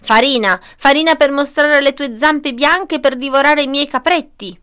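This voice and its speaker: Woman as a stern, high-voiced mother goat. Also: normal speed, a bit bright. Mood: accusing. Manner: accusing, taunting. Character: stern, mocking mother goat guarding her kids.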